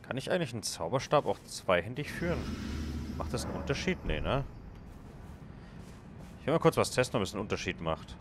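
A man's voice: on the low side, very animated, fairly quick, long pauses, noisy background. A man casually commenting on his game as he plays, thinking aloud and chatting with viewers. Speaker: let's play gamer.

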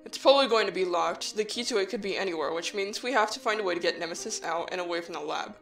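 A woman playing an earnest, cautious human child in a fan audio drama, measured, serious and matter-of-fact. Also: fairly low pitch, a bit bright, soft background music. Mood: planning, serious.

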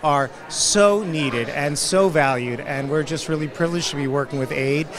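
A man's voice: a little high, normal speed, a crowd in the background. A middle-aged man speaking earnestly and confidently as a guest at a conference booth. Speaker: guest, development company executive. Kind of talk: conference interview. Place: conference hall booth, indoors. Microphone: handheld mic held by interviewer.

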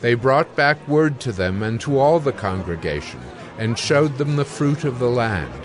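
A man narrating a dramatized audio Bible in a measured, solemn storytelling manner. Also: mid-range voice, lively intonation.

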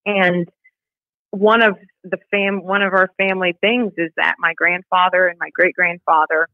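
A woman's voice: on the low side, steady tone, normal speed, no room sound. Female podcast guest, conversational storyteller, dry but muffled call-quality audio. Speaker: podcast guest, nonprofit director. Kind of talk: conversational interview, reading a family letter. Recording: muffled, band-limited remote call audio.

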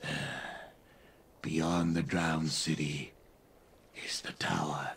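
An actor playing a grave, primal lizard-man of the marsh in a video game, speaking solemnly in halting broken English with breathy pauses. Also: rumbling low, little pitch movement, a bit bright, heavily acted. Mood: grave, brooding. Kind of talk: video game character dialogue. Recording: game dialogue over music. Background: music.

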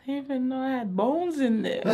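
Slow-talking woman in her thirties. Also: a little high, very noisy. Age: 30s. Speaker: chiropractic patient. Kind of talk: casual chat. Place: small indoor treatment room.

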